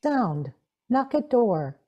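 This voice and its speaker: A woman announces scripted sound cues in short, clipped phrases. Her pace is slow and her intonation varied. The audio is slightly dull, as from a video call. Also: fairly high pitch, sing-song, warm tone, slightly rough. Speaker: sound effects reader. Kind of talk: clipped scripted cue reading.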